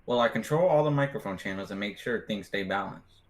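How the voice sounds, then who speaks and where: A man's voice: on the low side, lively intonation, fairly quick, close to an everyday voice. A young man acting an affable radio sound engineer in a staged radio play. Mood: explanatory. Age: teenager or young adult.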